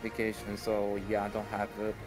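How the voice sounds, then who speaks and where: A man in casual voice chat while gaming, explaining things in halting, rambling stretches. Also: on the low side, completely flat delivery, music in the background.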